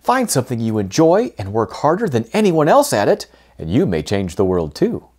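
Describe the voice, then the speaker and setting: A man in his forties hosting a children's storytime, reading aloud in an expressive, deliberate, sing-song way. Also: mid-range voice, husky, dry recording. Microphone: lapel microphone.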